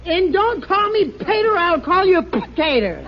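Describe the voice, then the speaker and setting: A man's voice: extremely high pitch, big pitch swings, normal speed, full character voice. An actor playing a flustered, stammering middle-aged husband and father in a radio comedy. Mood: exasperated, flustered. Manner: stammering, bumbling, indignant. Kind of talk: 1940s radio comedy acting. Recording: muffled, noisy old radio recording with audience.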